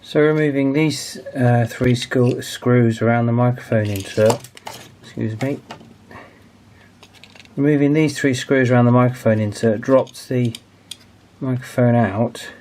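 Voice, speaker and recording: A man's voice: medium pitch, steady tone, measured pace, darkish tone. A man in his forties narrating a hands-on repair casually, hesitant and thinking aloud as he goes. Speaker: video host, radio restoration hobbyist.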